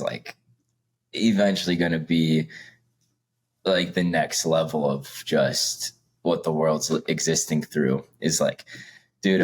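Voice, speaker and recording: A man's voice: a bass voice, slightly rough. A young man co-hosting a podcast, rambling casually with lots of fillers and laughs between points. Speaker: podcast co-host. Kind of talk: casual chat.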